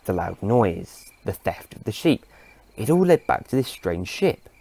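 Low-pitched man narrating a story. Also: very animated, measured pace, vocal fry, mellow, slightly rough.

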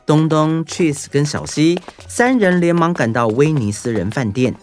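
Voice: medium pitch, lively intonation, measured pace, a little mellow. A narrator reading a scripted children's story. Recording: music bed behind voice.

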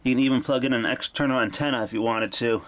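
A man's voice: mid-range voice, fairly even intonation, fast talker, fairly bright tone. A man giving an informal, offhand hands-on product demo, speaking from behind a handheld camera. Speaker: video creator demonstrating a vintage radio. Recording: background noise, handling clicks.

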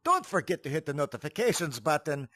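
Man putting on a high, showy welcoming announcer. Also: sing-song, a little fast, pushed and bright, dry recording, clean studio sound, heavily acted. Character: grandly welcoming male show announcer, eager to please. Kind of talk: theatrical scripted greeting.

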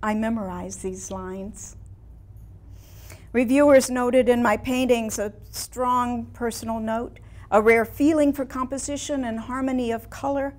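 A woman in her sixties playing an ailing, reflective elderly woman painter in a staged dramatic monologue, with theatrical, measured delivery and long pauses. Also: fairly high pitch, steady tone, a little mellow, natural voice. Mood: proud, wistful. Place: small indoor room before a seated audience.

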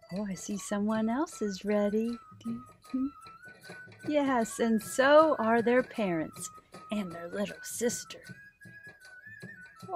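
Slow-paced woman reading to young children. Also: medium pitch. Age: middle-aged. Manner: expressive, asks the listeners simple questions. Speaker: children's librarian story time host. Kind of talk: read-aloud storytelling for young children.

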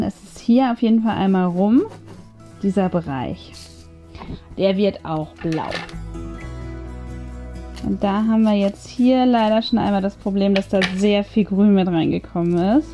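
Woman, soft mellow tone, medium pitch, chatty craft host thinking aloud, music behind. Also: normal speed. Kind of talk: casual hands-on craft tutorial commentary. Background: background music.

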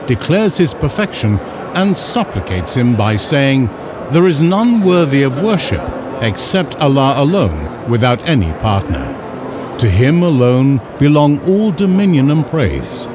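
A man reading scripted instructional narration in a measured, formal, carefully enunciated way. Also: mid-range voice, background noise. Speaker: narrator of an educational film.

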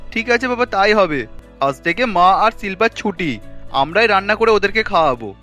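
Man acting a high-voiced agreeable young son. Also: lively intonation, normal speed, sharp tone, soft background music. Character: mild, agreeable young adult son and husband. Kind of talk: Bengali cartoon family drama acting.

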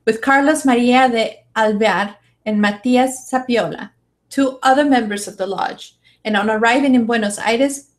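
A woman in her thirties reads a written article as narration. Her voice is on the high side, captured by a studio microphone in a small room. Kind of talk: scripted narration, read aloud. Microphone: studio condenser mic with pop filter.

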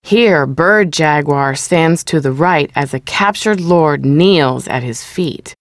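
A woman with a notably low voice reads museum exhibit narration for a video game. Her delivery is formal, measured and explanatory, and the sound is very dry. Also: no echo.

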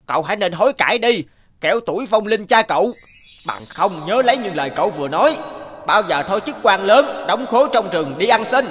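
Solemn, high-pitched divine dog. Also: male voice, lively intonation, brisk, a bit bright. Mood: stern warning. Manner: declamatory, admonishing. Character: divine talking dog, stern, solemn moral admonisher. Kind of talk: animated folk-tale dubbing.

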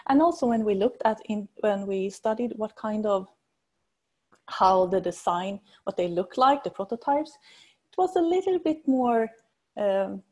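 A middle-aged woman with a Swedish accent giving a research presentation over video call, enthusiastic and halting as she searches for words. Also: medium pitch, expressive, darkish tone. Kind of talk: conference presentation. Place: indoors at home or office, on video call.

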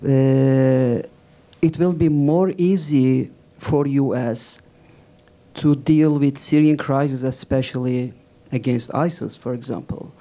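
A man with a Turkish accent asks a question from the audience, halting and full of fillers. His voice is mellow and mid-pitched. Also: fairly even intonation. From Turkey. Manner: hesitant, many fillers, halting. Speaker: audience questioner, visiting scholar.

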